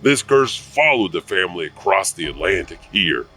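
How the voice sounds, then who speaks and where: An actor playing a creepy caretaker who hesitantly and theatrically hosts a horror anthology of ghost tales. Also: male voice, sits low, big pitch swings, normal speed, sharp tone, slightly rough, recorded from a distance, faint music behind, full character voice. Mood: ominous, confiding. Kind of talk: horror anthology host narration.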